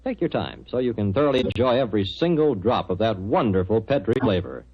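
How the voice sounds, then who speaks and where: A man's voice: fairly low pitch, expressive, fairly quick, slightly rough. A man with an American accent announcing for a 1940s radio show in a chummy, emphatic salesman's manner. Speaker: radio announcer and sponsor's pitchman. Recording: muffled 1940s radio broadcast.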